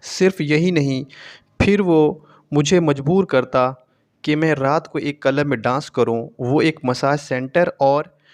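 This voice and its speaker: A man reading a scripted, emotional first-person story as a narrator. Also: on the high side.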